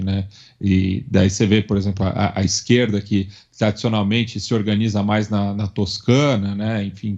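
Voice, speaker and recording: A man from São Paulo with a Paulistano accent, talking in a halting way full of fillers as a podcast co-host in a casual panel chat. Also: lowish voice, darkish tone, dull audio. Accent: Paulistano Brazilian Portuguese. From São Paulo, Brazil. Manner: halting, many fillers and restarts.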